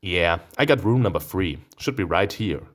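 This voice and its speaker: A man's voice: low-pitched, animated, moderate pace. A man voicing a small, friendly young male wolf student in a visual novel, chatty in manner. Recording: music behind the voice.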